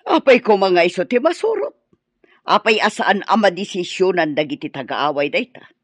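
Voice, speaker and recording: A woman's voice: mid-range voice, big pitch swings, moderate pace, slightly rough, no room sound. An Ilocano-accented woman playing a combative, sarcastic middle-aged parent in a radio drama, challenging and mocking.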